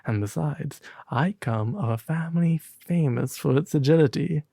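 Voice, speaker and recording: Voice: mid-range voice, moderate pace, vocal fry, mellow, slightly rough, no room sound, studio quality. A performer voicing a small, proud, fussy male swallow from a storybook. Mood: haughty, indignant.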